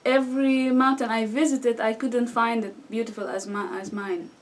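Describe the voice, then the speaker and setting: A woman's voice: on the high side, steady tone. A Syrian woman in her thirties, a guest speaking hesitant with frequent restarts and fillers. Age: 30s. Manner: halting non-native English with restarts and fillers. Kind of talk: conversational interview answers. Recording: distant mic with faint background noise.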